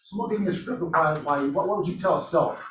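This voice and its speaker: A man's voice: a little high, quick pace, fairly bright tone. A man leading a team meeting in a meeting room, upbeat and encouraging, asking the room questions. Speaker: real estate office leader running a team meeting. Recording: echoey room, from a distance, faint noise.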